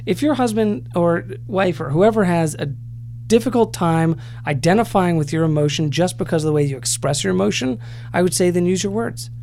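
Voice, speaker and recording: A man co-hosting a casual advice podcast as a therapist, easygoing and teasing, with a slightly creaky voice. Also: high voice, expressive, moderate pace, a little mellow, a little hiss or hum.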